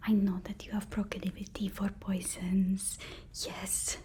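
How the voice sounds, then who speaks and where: A woman's voice: mid-range voice, a little mellow, lightly acted. A woman playing a devoted, nervous supervillain's personal assistant in an ASMR roleplay, whispering breathily with a deferential, hesitant, soothing manner. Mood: fawning.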